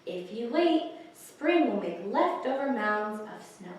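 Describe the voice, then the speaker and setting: A woman reading picture books aloud to young children, pausing to explain the pictures and ask questions. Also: a little high, very animated. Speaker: children's librarian, story time host. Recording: echoey, distant microphone, faint hiss.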